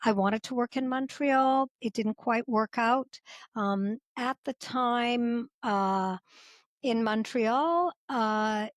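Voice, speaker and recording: Woman guest, animated storyteller, dry close sound. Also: on the high side, slow pace, no room sound. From Canada, Edmonton. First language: English. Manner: animated, chatty storyteller with frequent fillers. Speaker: guest, interpreter and former actress. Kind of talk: casual podcast interview.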